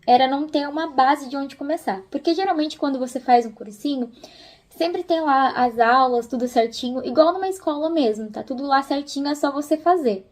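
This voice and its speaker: A woman's voice: sits high, fairly quick, darkish tone. A young Brazilian woman chatting casually and encouragingly as she gives tips in a video. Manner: informal, encouraging. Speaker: host of a video channel.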